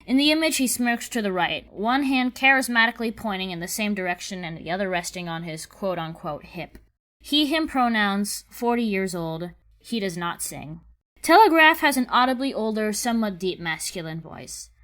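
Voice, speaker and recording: A woman narrates a scripted casting call at an average pitch for a female voice. Her delivery is measured and methodical as she goes through each description. Her intonation moves up and down a lot, keeping the reading lively.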